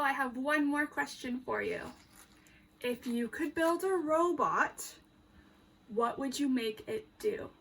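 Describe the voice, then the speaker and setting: A woman teacher reading a picture book aloud to young children, expressive and emphatic, addressing them directly with questions. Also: sits high.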